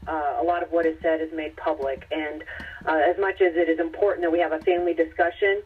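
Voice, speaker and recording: Halting American woman on a tinny phone line. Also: deep voice, fairly even intonation, breathy edge, distant mic. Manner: halting, measured. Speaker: conference call moderator. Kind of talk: formal opening remarks on a group call. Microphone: telephone conference call.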